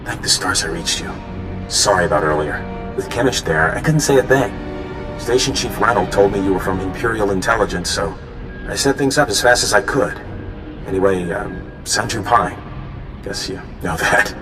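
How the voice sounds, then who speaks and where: A man's voice: low-pitched, normal speed, echoey room, music in the background, rough recording. An actor playing an apologetic young male mole who rambles nervously on a secret call in a game cutscene. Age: young adult. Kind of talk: video game cutscene acting.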